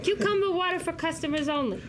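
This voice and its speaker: A woman's voice: extremely high pitch, fast talker, a little hiss or hum. A woman playing a stern, suspicious older salon owner who speaks blunt, broken English. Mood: curt, suspicious. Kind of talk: acted table read.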